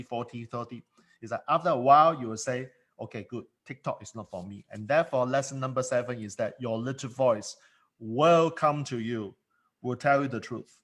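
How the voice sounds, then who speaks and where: A man coaching in a solo monologue, emphatic and given to repeating words, speaking English as a second language. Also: mid-range voice. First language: not English. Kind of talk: motivational monologue.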